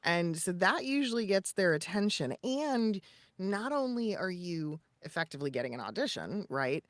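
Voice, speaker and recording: A woman with a fairly low voice talks shop as a podcast co-host. She is casual and emphatic, dropping short punchy asides into her advice. The sound is close and dry. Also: big pitch swings, moderate pace, dry recording.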